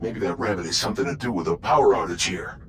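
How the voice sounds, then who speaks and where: A man's voice: low-pitched, fast talker, background noise, close to an everyday voice. A man playing a gossipy, nervous henchman guard in a video game. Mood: uneasy. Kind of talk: video game cutscene acting. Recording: game music behind the voice.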